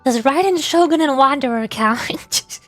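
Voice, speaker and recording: A woman's voice: high-pitched, unhurried, fairly bright tone, no echo, soft background music. A woman streaming a game, chatting casually and often wondering aloud in questions. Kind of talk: casual livestream chat and reading game dialogue aloud.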